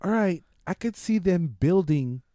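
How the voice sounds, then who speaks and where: A male podcast host with a mellow voice and very animated intonation. He chats casually and excitably, full of slang and swearing. The sound is dry and close. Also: sits high, unhurried, no room sound. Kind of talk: casual movie review chat.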